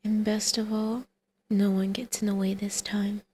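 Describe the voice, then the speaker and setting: A woman playing a teasing, flirtatious villainess in an intimate ASMR roleplay, with a creaky voice that often drops to a breathy whisper. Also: medium pitch, flat delivery, a little slow, mellow, slight background noise, lightly acted. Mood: seductive persuasion.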